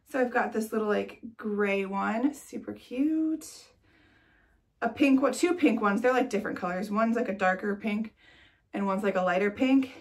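A woman's voice: a little high, gravelly. A young woman in her twenties, congested and chatty, talking to camera in a nursery room. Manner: chatty, with many "like"s and light laughs. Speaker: vlogger. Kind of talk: casual chat to camera.

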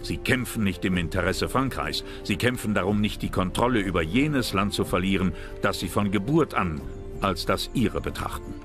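A man's voice: low-pitched, animated, moderate pace. A man reading scripted narration for a history documentary. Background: background music.

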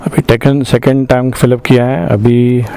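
A man's voice: mid-range voice, little pitch movement, measured pace, vocal fry. A man in his forties vlogging a long motorcycle ride in a loose, chatty way, full of fillers, on an action camera outdoors. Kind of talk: casual travel vlog narration. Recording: loud road and wind noise.

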